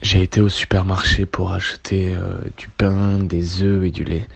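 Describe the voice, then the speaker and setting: A man with a French accent reading a simple sentence flatly in a recorded voice note. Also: low-pitched, normal speed, creaky, husky, dry recording. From France. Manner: flat, plain reading of a set sentence. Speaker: friend in a voice note. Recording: dull lo-fi voice note.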